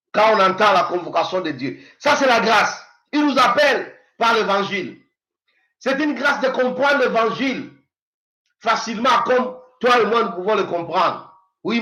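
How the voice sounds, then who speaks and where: A man in his fifties delivers a sermon with a high, bright, ringing voice. He swings between loud exhortation and hushed, prayerful passages. The room is echoey around him. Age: 50s. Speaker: pastor.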